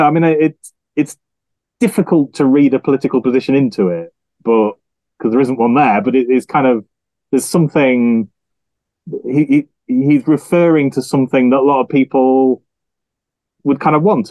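Halting, thoughtful man, close dry sound. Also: medium pitch, dark tone, no echo, low-quality recording. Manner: halting, repeats words as he thinks. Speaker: podcast panelist. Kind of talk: thoughtful casual analysis.

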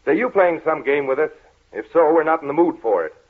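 An actor playing a commanding, stubborn gentleman in 1930s radio style, authoritative and theatrically indignant. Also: a little high, lively intonation, a little fast, breathy edge. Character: commanding, stubborn, irritable gentleman master exploring dark house. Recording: tinny, noisy 1930s radio recording.

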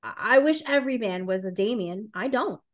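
A woman co-hosting a book podcast in casual, chatty talk full of animated asides and "like". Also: medium pitch, a little fast. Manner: informal, animated asides, says like and you know often. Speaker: podcast co-host. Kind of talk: casual chat, book review.

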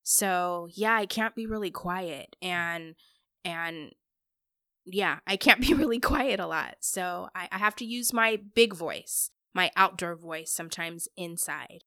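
Female guest, candid and chatty, crisp bright tone, very dry sound, casual interview. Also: fairly low pitch, takes it slowly, no room sound. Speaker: guest, parent. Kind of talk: casual conversational interview answers.

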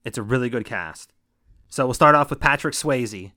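A man's voice: medium pitch, animated, moderate pace, pushed and bright, no echo, clean studio sound. A man in his thirties co-hosting a casual movie podcast, joking and swearing freely. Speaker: podcast co-host.

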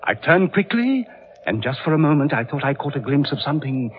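Distraught gentleman, muffled vintage radio. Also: on the high side, very animated, a little fast. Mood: shaken, earnest. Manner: agitated, faltering, melodramatic. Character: distraught, horror-struck English gentleman reporting a family tragedy. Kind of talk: old-time radio drama acting. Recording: muffled vintage radio recording, faint noise.

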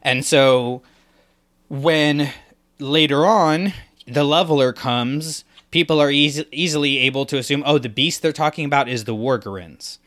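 A man's voice: a little high, a little slow, fairly bright tone. A man co-hosting a podcast, talking through a fan analysis in an emphatic way and acting out imagined reactions. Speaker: podcast co-host.

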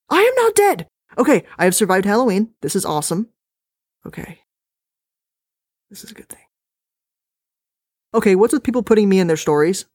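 A woman hosts a podcast in a loose, chatty way, bouncing between giddy outbursts and quick asides. Her voice sits a little low for a woman, and the sound is close and dry. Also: a little mellow, no echo. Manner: bubbly and playful, jumping in pitch. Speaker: podcast host. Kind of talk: casual chat.

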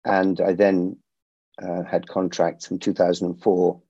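A middle-aged man who grew up in India, a security company founder answering interview questions in a measured way with frequent restarts. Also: deep voice, level tone, dark tone, husky, no room sound, highs cut off.

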